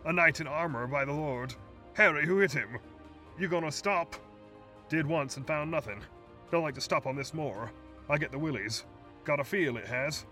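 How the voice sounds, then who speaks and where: A man voicing a blunt, gruff train crewman on a moor, talking in excited shouts. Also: mid-range voice, normal speed, recorded from a distance, soft background music, voice pushed up, lightly acted.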